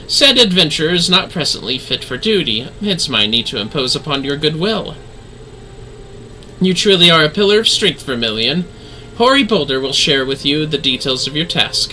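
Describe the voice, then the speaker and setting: A woman voicing a composed, gracious female leader in a fantasy game, speaking in formal, archaic, measured phrases. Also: medium pitch, bright, forceful tone, a little hiss or hum, voice pushed down, low-quality recording.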